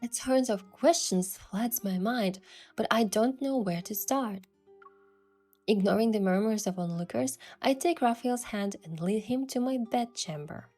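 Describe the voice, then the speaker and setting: A woman's voice: mid-range voice, measured pace, darkish tone. A woman reading scripted first-person romance narration and voicing the heroine. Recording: music behind the voice.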